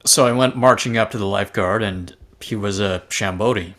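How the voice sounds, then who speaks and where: Man playing a jovial husband, lowish voice. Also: fairly quick, a bit bright. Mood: jovial, storytelling. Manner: jokey storytelling. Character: jovial middle-aged husband telling holiday anecdotes. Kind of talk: fan table read of sci-fi drama.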